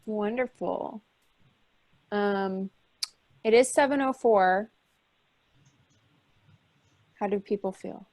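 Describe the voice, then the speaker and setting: A woman co-hosting a video-call question session, speaking in a brisk and friendly way as she keeps the event moving. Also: medium pitch, a little mellow, dry recording. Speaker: co-host.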